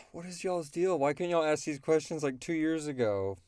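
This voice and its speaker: A man hosting a question-and-answer show in an informal, emphatic and animated way. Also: a little high, moderate pace, no echo, clean studio sound. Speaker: host and creator of an audio drama podcast. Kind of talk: casual chat answering listener questions.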